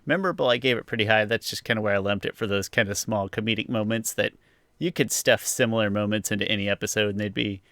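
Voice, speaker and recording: A man's voice: fairly low pitch, a bit bright, no echo, clean studio sound. A man co-hosting a podcast, talking through an episode review in a casual, rambling way. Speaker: podcast co-host.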